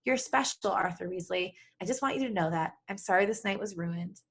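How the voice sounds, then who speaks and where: A woman's voice: medium pitch, a little fast, breathy edge. Earnest, caring young witch, read by a woman. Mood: tearful, tender. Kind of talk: amateur story reading.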